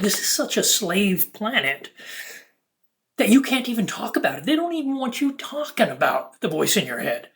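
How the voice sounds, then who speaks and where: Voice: mid-range voice, fairly bright tone. Earnest, preachy spiritual monologue speaker. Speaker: video host.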